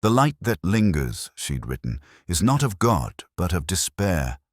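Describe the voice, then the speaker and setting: Very deep-voiced man narrating a mystery. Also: very animated, husky, no room sound, clean studio sound. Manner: measured, brooding and deliberate. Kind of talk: first-person scripted mystery narration.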